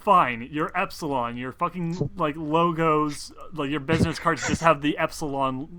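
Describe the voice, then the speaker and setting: A man's voice: fairly high pitch, moderate pace, crowd noise. A man running a tabletop game in casual group chat, talking in a blunt, exasperated way with plenty of swearing. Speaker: game master of a tabletop role-playing group. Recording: faint background noise.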